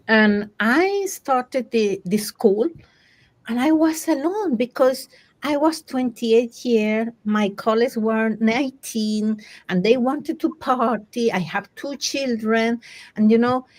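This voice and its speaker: A woman with a Panamanian Spanish accent speaks at a very unhurried pace. She tells personal stories conversationally, in halting English where she often corrects herself. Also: fairly high pitch. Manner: halting, self-correcting second-language phrasing. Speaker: coach. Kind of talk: conversational personal storytelling in a group discussion.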